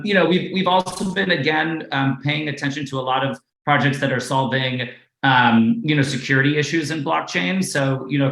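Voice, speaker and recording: A man's voice: medium pitch, roomy sound, rough recording. A man being interviewed as a guest investor, talking casually with many fillers and tech jargon. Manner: filler-heavy, relaxed, jargon-laden.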